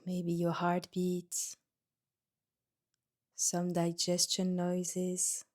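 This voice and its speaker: A woman guiding a yoga nidra meditation in a gentle, soothing manner, leaving pauses between short instructions. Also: lowish voice, monotone. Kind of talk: guided meditation.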